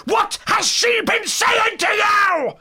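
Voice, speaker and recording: A man playing a huge, furious old doctor in an audio drama, bellowing threats in a rasping snarl. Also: extremely high pitch, takes it slowly, shouting, a crowd in the background. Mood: furious, accusing.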